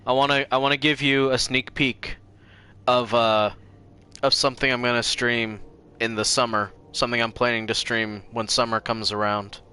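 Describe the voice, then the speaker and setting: A man's voice: mid-range voice, level tone, moderate pace, pushed and bright, clean tone. A man chatting casually and a bit ramblingly to viewers while livestreaming a video game. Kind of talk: casual chat to stream viewers.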